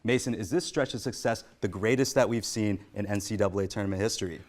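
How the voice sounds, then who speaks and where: A man's voice: fairly low pitch, fast. A man co-hosting a sports talk show, moving between scripted setups and discussion.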